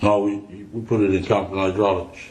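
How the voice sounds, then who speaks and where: A man's voice: fairly low pitch, little pitch movement, brisk, a little mellow. A man being questioned by police, casually recounting memories in profane street slang on a roomy, noisy interview recording. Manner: profane, slangy storytelling, acting out quoted exchanges.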